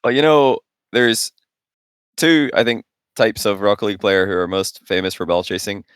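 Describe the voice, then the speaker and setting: A man in his late twenties with a deep voice co-hosts a gaming podcast. He talks in a casual, conversational way. The sound is dry, with no room around it. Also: steady tone, normal speed, a bit bright, clean tone, dry recording. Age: late 20s. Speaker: podcast co-host.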